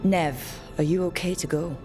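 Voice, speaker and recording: A woman's voice: fairly low pitch, steady tone, moderate pace, close to an everyday voice. A woman playing a resolute adventurer who leads a fantasy party in a video game, speaking firmly and decisively. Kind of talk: video game cutscene acting. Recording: loud music behind the voice.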